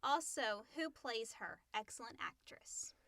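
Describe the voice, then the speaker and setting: A woman co-hosts a casual podcast Q&A with a bright, fairly high voice. Her pitch moves around a lot, and the booth sound is close and dry. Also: lively intonation, a little slow, dry recording. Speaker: podcast co-host. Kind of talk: casual Q&A chat, reading questions. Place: small enclosed recording booth.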